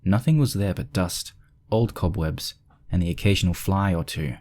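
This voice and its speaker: Male narrator, low register, reading prose fiction from a script. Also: moderate pace, darkish tone.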